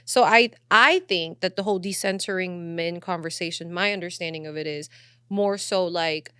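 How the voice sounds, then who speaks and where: A woman's voice: lowish voice, normal speed, pushed and bright, smooth, no echo. A woman hosting a podcast, chatting casually and frankly, with plenty of filler words like "you know". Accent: Filipino. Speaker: podcast host. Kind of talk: casual opinionated podcast chat.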